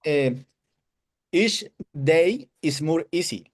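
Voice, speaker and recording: A man from Colombia whose first language is Spanish, with a Colombian Spanish accent, giving a halting testimonial in broken English over a video call. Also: fairly high pitch, expressive. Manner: hesitant broken English, searching for words. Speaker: webinar attendee giving a testimonial.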